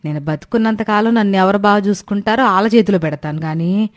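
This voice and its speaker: A woman's voice: medium pitch, fast talker, close to an everyday voice. A woman voicing a sly, sweet-talking elderly mother-in-law in a moral cartoon story. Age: older.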